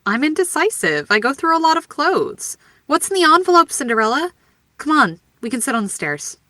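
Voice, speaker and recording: A woman's voice: high-pitched, big pitch swings, fairly quick, bright, forceful tone, no room sound, studio quality, heavily acted. A woman playing a flippant, theatrical young writer with a playful, sardonic manner in an audio drama. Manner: sardonic, playful, mock-grandiose.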